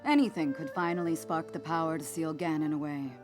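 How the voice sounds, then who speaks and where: A woman's voice: deep voice, fairly even intonation, brisk, over music, natural voice. A woman playing a composed, practical warrior in a video game cutscene, speaking in a firm, reassuring, matter-of-fact way. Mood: firm, consoling.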